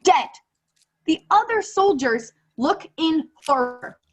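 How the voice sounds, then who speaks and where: A woman's voice: very high-pitched, animated, takes it slowly, heavily acted. A teenage woman playing a grandiose radio war reporter in a radio play, declaiming theatrically. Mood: grave, dramatic. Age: late teens. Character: melodramatic female radio war reporter, grandiose then panicked. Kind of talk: 1930s radio play acting. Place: bedroom on a video call.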